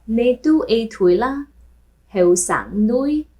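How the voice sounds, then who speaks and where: A woman who grew up speaking Taishanese, hosting a language lesson where she repeats phrases slowly and translates them. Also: a little high, lively intonation, mellow. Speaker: language teacher and host. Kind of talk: language lesson with film clips.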